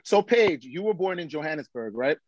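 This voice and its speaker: A podcast co-host asking questions in a jokey, lively way. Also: mid-range voice, fairly quick, lo-fi sound, a crowd in the background. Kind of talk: casual interview.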